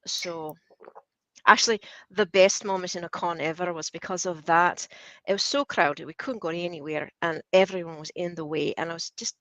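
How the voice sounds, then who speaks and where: A woman co-hosting a casual chat, telling a story in a playful, animated way. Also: fairly low pitch, moderate pace, no room sound, low-quality recording. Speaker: podcast co-host.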